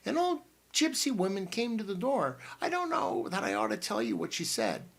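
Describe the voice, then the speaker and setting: A man's voice: sits high, huge pitch swings, moderate pace, gravelly, voice pushed up, heavily acted. A man reading an audiobook and voicing a fussy, flustered middle-aged aunt who rambles breathlessly. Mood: chatty.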